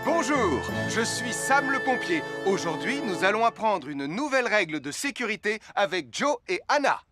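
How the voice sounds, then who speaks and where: A kindly cartoon firefighter explains safety rules in a steady, reassuring way. His voice sits a little high. Lively children's music plays clearly beneath him. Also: moderate pace. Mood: steady advice. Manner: calm, reassuring instruction. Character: Sam, a friendly adult male firefighter. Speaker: safety narrator. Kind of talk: French cartoon dub. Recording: studio voice with loud cartoon music.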